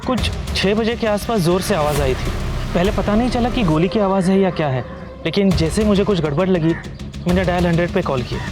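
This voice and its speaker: A man's voice: high-pitched, brisk, lightly acted. A man playing a cooperative neighbour giving an earnest witness account in a television crime drama. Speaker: actor in a television crime series. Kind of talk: Hindi TV crime drama acting. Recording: loud background music.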